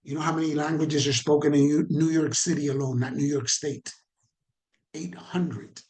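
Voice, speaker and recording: A man's voice: a little high, steady tone, moderate pace, darkish tone. Caribbean-accented man teaching a training. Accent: Caribbean Spanish. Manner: conversational, asks the audience questions, repeats for emphasis. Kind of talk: online training talk.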